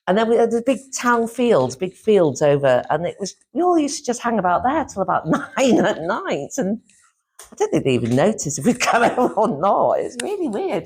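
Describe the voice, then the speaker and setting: A woman's voice: lowish voice, a little mellow, husky. A middle-aged woman from Yorkshire, chatting as an interview guest in a rambling way, with frequent laughs and restarts. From Yorkshire, England. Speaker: interview guest, former publisher and teacher. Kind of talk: casual chat.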